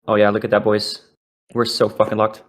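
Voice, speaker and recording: A young man streaming a game live, reacting excitably and swearing as he plays. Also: medium pitch. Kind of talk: live gaming commentary.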